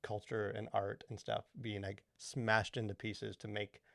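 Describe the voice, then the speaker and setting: A man hosting a casual podcast discussion, talking in a halting, rambling way full of filler words. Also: lowish voice, flat delivery, gravelly, a bit bright, no echo, clean studio sound. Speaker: podcast host.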